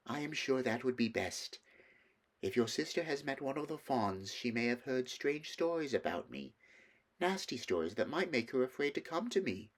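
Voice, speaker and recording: A man voicing a sly witch queen in a smooth, wheedling storybook manner. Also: mid-range voice, very animated, moderate pace, voice pushed up. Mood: confiding, sly. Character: sly, coaxing witch queen, a grown woman.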